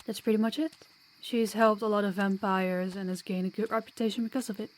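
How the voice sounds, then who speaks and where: A woman's voice: medium pitch, level tone, fairly quick, close to an everyday voice, low-quality recording. A woman playing a sociable, kind vampire guide in an audio roleplay, chatty and reassuring with a playful streak. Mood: earnest, reassuring.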